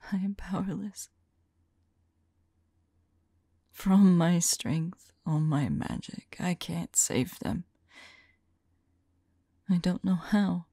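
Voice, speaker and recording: A lovelorn woman mage, played by an ASMR voice actress, murmurs anguished journal entries in a dark, mellow voice. Her delivery is hushed, breathy and mournful, with a creaky edge, recorded very dry and close. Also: lowish voice, dry recording, exaggerated voice. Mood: anguished, despairing. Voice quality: soft, breathy, husky. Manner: hushed, halting, mournful, intimate. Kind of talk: slow dramatic monologue, ASMR audio roleplay.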